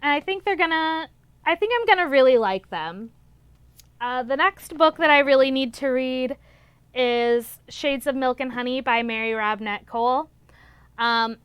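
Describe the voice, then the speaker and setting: A woman's voice: sits high, fairly bright tone, clean tone, no echo. A young woman chatting casually and enthusiastically as a vlog host, gushing and repeating words for emphasis. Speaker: book reviewer, vlog host. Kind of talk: casual book vlog chat.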